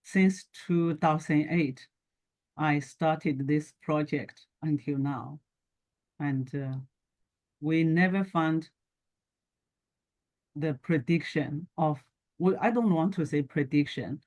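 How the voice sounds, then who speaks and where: A middle-aged woman from China with a Chinese accent, speaking haltingly in short phrases as a guest in an interview. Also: deep voice, a little mellow. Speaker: guest, scientist and entrepreneur. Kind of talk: talk show interview, telling personal background.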